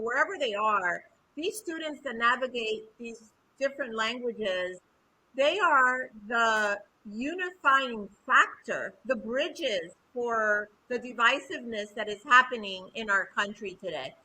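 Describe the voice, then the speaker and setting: A woman's voice: on the high side, bright, forceful tone, slight background noise, poor audio. A woman co-hosting an interview over a video call, asking earnest, probing questions. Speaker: interview co-host. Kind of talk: conversational interview.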